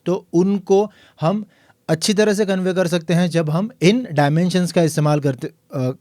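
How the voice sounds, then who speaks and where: A man teaching a piano lesson, explaining calmly and counting the beats aloud. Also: high-pitched, moderate pace, dry recording, studio quality. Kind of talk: tutorial lesson.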